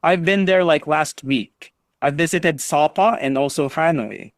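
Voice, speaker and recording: A man's voice: fairly high pitch, unhurried, no room sound, clean studio sound. A man teaching an online English class over a video call, patiently drilling students on pronunciation and encouraging them.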